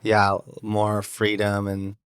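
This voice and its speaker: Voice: low-pitched, monotone, slow pace, clear, resonant tone, no room sound, clean studio sound. A person in casual conversation, speaking in a hesitant, noncommittal way. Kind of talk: casual chat.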